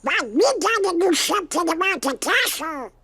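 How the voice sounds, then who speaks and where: A man voicing an excitable cartoon duck in a video game, with a garbled, quacking, slurred rasp and a fretful, spluttering manner. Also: very high voice, moderate pace, noisy background. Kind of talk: animated video game voice acting.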